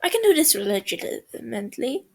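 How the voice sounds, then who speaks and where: A playful, clingy young man with a lifted, teasing voice and a slight gravelly rasp, as a woman reading a story puts him on. He speaks slowly, fond and needling, in a storybook. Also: on the high side, big pitch swings, full character voice.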